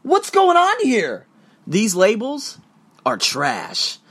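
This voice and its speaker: Man ranting with sharp emphasis. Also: wildly swooping pitch, takes it slowly, husky. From United States. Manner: emphatic and profane, with sharp rises in pitch and frequent restarts. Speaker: podcast host. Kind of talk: ranting monologue to camera.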